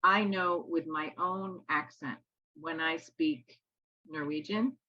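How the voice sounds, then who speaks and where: A low-voiced woman with a husky, bright edge co-hosts a casual chat. The sound is dull and dry, like a lo-fi call recording. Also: slow, no room sound. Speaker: co-host. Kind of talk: casual discussion.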